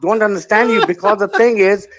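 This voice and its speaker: A man on a call-in debate who argues back defensively and brushes off his opponent's points. His voice sits somewhat high for a man. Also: fairly quick, background noise. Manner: defensive, argumentative, dismissive. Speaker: caller. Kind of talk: heated call-in religious debate.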